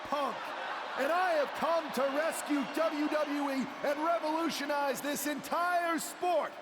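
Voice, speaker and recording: A pompous male wrestler delivers a mocking parody promo in an extremely high voice. He stretches words out slowly and theatrically over a noisy arena in a wrestling game. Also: fairly even intonation. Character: boastful wrestler doing a mocking parody of his rival. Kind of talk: shouted wrestling promo acting.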